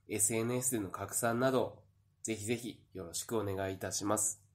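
A man giving a polite, earnest thank-you announcement as the director of a drama troupe. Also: on the low side. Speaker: troupe director and writer giving closing address.

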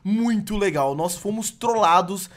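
Hoarse young male gaming host, high voice, excitable let's-play chatter. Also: unhurried. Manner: excitable, yelps for help. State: hoarse from a cold.

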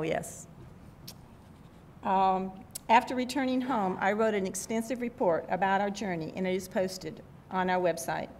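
An older woman delivers a prepared keynote from notes at a podium. Her voice sits at a middling pitch, with faint rumbling noise behind it. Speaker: keynote speaker. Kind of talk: prepared speech read from notes. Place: event hall at a podium. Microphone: podium microphone.

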